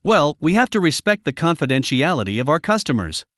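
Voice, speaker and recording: Male interview guest, slightly high voice, very lively intonation, formal explanatory answers. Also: brisk. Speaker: interview guest, media analytics company representative.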